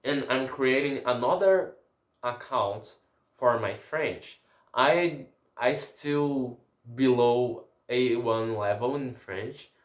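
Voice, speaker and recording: A man whose first language is Portuguese talks slowly and haltingly, restarting his sentences as he hunts for words. His medium voice rings in a roomy, echoey space. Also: mid-range voice. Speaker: language learner. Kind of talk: casual spoken language diary.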